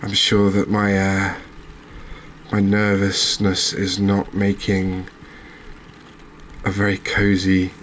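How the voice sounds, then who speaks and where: Low, even-voiced man doing cozy game commentary. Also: slow, long pauses, a little hiss or hum. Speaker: gaming channel host.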